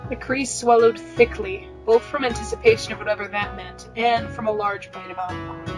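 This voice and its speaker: A woman's voice: medium pitch, fairly even intonation, fairly quick, low-quality recording. A woman narrating fan fiction on a podcast in a matter-of-fact, newscaster-like manner. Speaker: podcast reader. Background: plucked guitar music.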